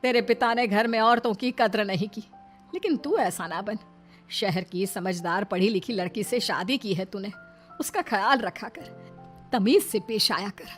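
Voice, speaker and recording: A woman's voice: medium pitch, a little slow, soft background music, lightly acted. A woman voicing a kind, long-suffering older mother-in-law giving earnest advice in a cartoon story. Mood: earnest pleading.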